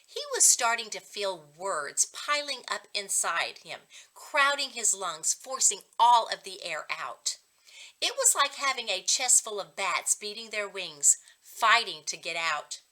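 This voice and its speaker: A woman reading a children's story aloud as a reading coach, in expressive narration. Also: medium pitch, measured pace, rough recording.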